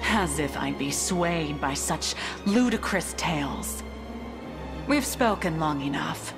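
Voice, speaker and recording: An actress playing a proud, loyal wyvern-riding military commander in a fantasy game, with a formal, haughty, measured delivery. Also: female voice, low-pitched, expressive, full character voice. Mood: defiant, resolute. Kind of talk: dramatic fantasy game voice acting. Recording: loud orchestral music behind.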